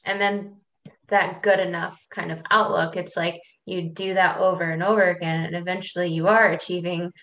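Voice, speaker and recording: Low-voiced woman host, dull lo-fi sound. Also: steady tone, normal speed, a bit bright, a little hiss or hum. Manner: sums up the guest's point. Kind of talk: casual interview chat.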